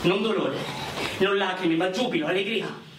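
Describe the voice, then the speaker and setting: An actor playing a brave, devoted young soldier, solemnly declaiming a letter home in theatrical style. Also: male voice, high-pitched, normal speed, natural voice. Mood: solemn, exalted. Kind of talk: theatrical reading of a war letter. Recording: echoey, distant, clearly noisy.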